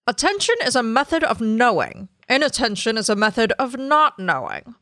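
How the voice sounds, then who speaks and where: A woman podcast host reading a book aloud dramatically, in a deliberate, declamatory way. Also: on the high side, sing-song, a bit bright.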